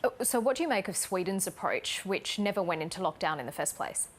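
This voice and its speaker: Lady news anchor asking questions and reading headlines, lower voice. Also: sing-song, brisk. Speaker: news presenter.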